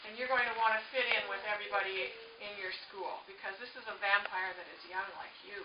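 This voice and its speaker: A woman's voice: mid-range voice, fairly even intonation, fast. A woman teacher reading a picture book aloud to young children, explaining simply and checking in with short questions. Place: echoing room with a small group of children. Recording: echoey, distant, muffled, noisy room recording.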